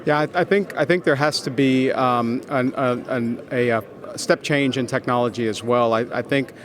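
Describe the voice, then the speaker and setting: A man answering interview questions as a business executive, explaining in halting phrases with frequent pauses and repeated words. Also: mid-range voice, a little hiss or hum. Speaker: consultancy executive, interview guest.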